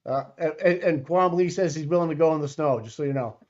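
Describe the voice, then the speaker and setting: A man from the Boston area co-hosting a casual live stream, talking in a rambling, halting way and swearing casually. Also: a little high, a little mellow, muffled recording. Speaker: co-host.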